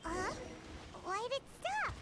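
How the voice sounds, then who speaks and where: Game sidekick: tiny excitable creature, squeaky, extremely high, hugely animated, childlike eagerness. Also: female voice, slow pace, background noise, exaggerated voice. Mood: curious. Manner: eager, exclamatory. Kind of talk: scripted game voice acting. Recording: game dialogue under loud music.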